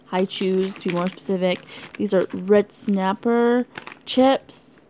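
A young woman from Canada chatting casually through an unboxing video, rambling and giggly, her voice hoarse from being sick. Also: mid-range voice, darkish tone, a little hiss or hum. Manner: rambling, giggly, lots of likes and ums. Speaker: vlogger.

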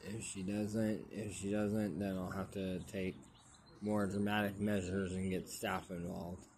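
Man, low voice, flat, rambling, restarts, phone at arm's length, outdoors. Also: a little hiss or hum. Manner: repetitive, halting restarts. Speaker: vlogger. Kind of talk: rambling selfie plea to camera. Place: outdoors under a tree. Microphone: phone held at arm's length.

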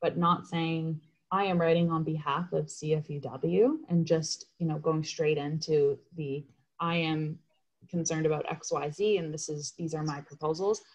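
Lower-pitched woman, halting delivery. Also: fairly even intonation, rough recording. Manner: hesitant, halting delivery with repeated words. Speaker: advocacy coordinator leading a meeting. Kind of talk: informal meeting presentation. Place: indoors on a group video call. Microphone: computer video call.

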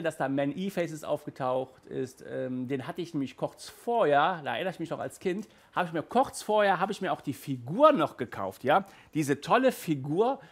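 A man in his thirties with a fairly high voice, hosting a casual review from a small room. He talks in a lively, restless way, stumbling and restarting, and sounds hot and flustered by the heat. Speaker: audio drama reviewer, host. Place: small indoor room with toy shelves.

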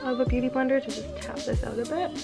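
A woman's voice: sits high, very animated, quick pace, over loud music. A young woman with a cold, sounding slightly congested and nasal, chatting casually through a makeup routine at home. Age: young adult. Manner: chatty, walks through each step. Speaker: beauty vlogger. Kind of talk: casual makeup tutorial talk. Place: living room at home.

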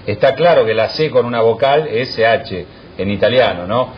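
Lively Argentine panelist on muffled radio. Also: male voice, mid-range voice, normal speed. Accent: Argentine Spanish. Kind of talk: radio sports talk. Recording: muffled radio broadcast with faint noise.

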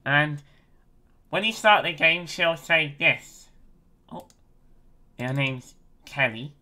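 Young man, bright crisp tone, slow unhurried talking, excitable, giggly, bedroom webcam. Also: on the high side. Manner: excitable, chatty, frequent giggles. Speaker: game developer and streamer. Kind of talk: casual vlog update. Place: small bedroom, indoors. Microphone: webcam at desk.

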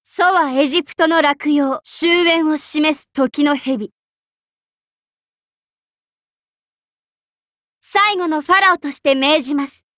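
A very high-pitched, girlish young queen's spirit for an anime game. She is haughty and vain, giving orders in an imperious, ladylike manner. The recording is dry and close. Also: measured pace, pushed and bright, no echo. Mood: commanding, dramatic. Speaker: game voice actor. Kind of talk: anime game character voice lines.

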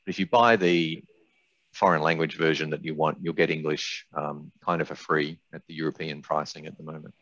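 Older male software expert, deep voice, muffled headset sound, conversational webinar answers. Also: steady tone, a little fast, no echo. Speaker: software company expert guest. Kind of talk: informal webinar question-and-answer. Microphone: headset on video call. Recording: dull dry video-call audio.

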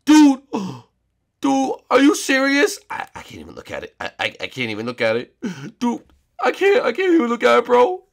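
A man voicing an excitable teenage boy who talks loudly in slang. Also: very high-pitched, quick pace, creaky, full character voice. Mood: agitated. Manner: says dude and bro constantly, shouty.

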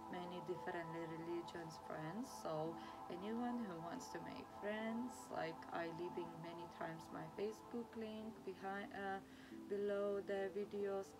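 Young Lithuanian-accented woman, non-native English, unhurried and hesitant to-camera chat with music underneath. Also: medium pitch, poor audio. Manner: halting non-native English with many fillers. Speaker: vlogger. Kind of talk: casual talk to camera. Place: small room indoors, sitting against a plain wall.

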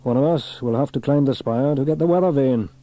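A man's voice: mid-range voice, fairly quick, mellow, pitched above the performer's own voice, natural voice. A man voicing a brave, cheerful firefighter hero in a children's storybook, upbeat and reassuring. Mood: determined.

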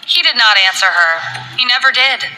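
A woman reading a novel aloud in steady scripted narration. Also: a little high, normal speed, soft background music, low-quality recording.

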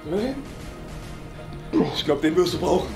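A man's voice: a little high, expressive, moderate pace, vocal fry, reverberant. A young man, slangy and agitated, acting out a scary vlog indoors on a handheld camera. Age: young adult. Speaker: video creator. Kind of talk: dramatized scary prank vlog. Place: indoors in a house. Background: loud music.

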